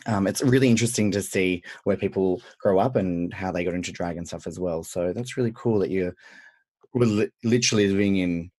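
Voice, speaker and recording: A man with an Australian accent hosts a casual podcast, chatting in an upbeat way and leaning on fillers. His voice sits very low. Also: fairly quick, a little mellow. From central west New South Wales, Australia. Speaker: podcast host, drag performer.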